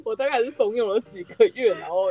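A woman's voice: on the high side, expressive, fast, warm tone, breathy edge. A woman with a Taiwanese Mandarin accent, hosting a casual podcast chat. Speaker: podcast host.

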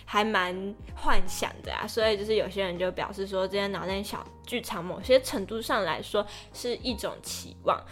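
A woman's voice: medium pitch, moderate pace, hardly any pauses, a bit bright, faint music behind. A young woman co-hosting a podcast in a casual, chatty style. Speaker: podcast co-host.

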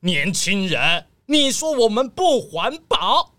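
An actor in a children's audio drama playing a stubborn, excitable old townsperson who scolds in emphatic exclamations. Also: mid-range voice, slow pace, exaggerated voice. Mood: scolding, indignant.

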